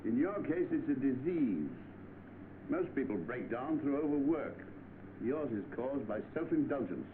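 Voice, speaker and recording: Stern 1930s film doctor, male actor, lively intonation, heard through a tinny, noisy old soundtrack. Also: medium pitch, a little slow, lightly acted. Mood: stern, blunt. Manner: blunt, authoritative. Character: stern, blunt eminent London doctor.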